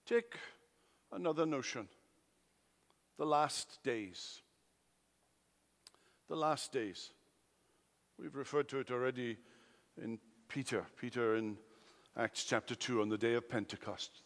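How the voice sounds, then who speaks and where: A man with a Welsh accent, a native Welsh speaker, preaching an expository sermon, emphatic, swinging between loud declarations and quiet asides. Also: mid-range voice. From Wales. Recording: long hall reverb.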